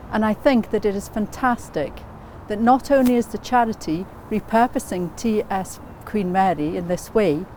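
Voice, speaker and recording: Woman, mellow tone, formal, measured, scripted testimonial, course director, faint background noise. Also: medium pitch, poor audio.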